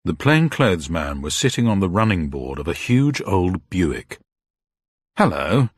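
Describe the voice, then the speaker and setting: Low, husky male story narrator. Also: big pitch swings, unhurried, no room sound, studio quality. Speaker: audiobook narrator. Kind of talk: dramatic scripted narration of a detective story.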